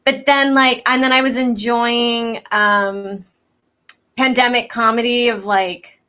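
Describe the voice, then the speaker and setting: A young American woman from Houston chats casually over a video call. Her voice is soft-spoken and bright, sitting a bit high. She talks loosely and animatedly, full of fillers. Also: flat delivery, slow pace. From Houston, United States. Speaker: comedian guest. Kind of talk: casual chat.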